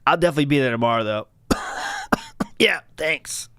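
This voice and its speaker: A man's voice: mid-range voice, animated, brisk, pushed and bright, smooth. A man putting on a coughing employee who is faking being sick on a call to his boss. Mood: fake sick. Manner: feeble, coughing and apologetic.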